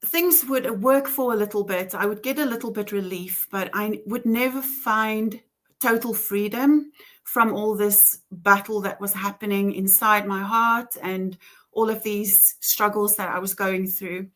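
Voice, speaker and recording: A woman's voice: medium pitch, steady tone, a little slow, a bit bright. A woman with an Afrikaans accent, a native Afrikaans speaker from South Africa, telling her faith story in an earnest, reflective way with many ums and small laughs. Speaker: interview guest. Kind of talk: personal faith testimony.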